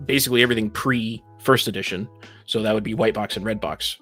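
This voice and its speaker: A man with a husky, slightly rough voice talks through a point in a casual discussion. He is analytical and precise, ready to argue. Faint music plays behind him. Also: lowish voice, fast talker, bright, forceful tone. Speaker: panelist in a tabletop gaming discussion.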